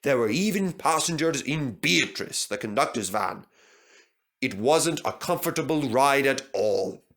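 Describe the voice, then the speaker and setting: A man reading a children's story aloud as an expressive narrator. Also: medium pitch, unhurried. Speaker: story reader.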